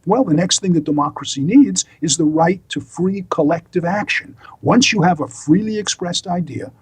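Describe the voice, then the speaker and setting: Older American male academic guest: husky, fairly high voice, deliberate and emphatic, lecturing style. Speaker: law professor and author, interview guest. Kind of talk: explanatory interview talk, lecturing.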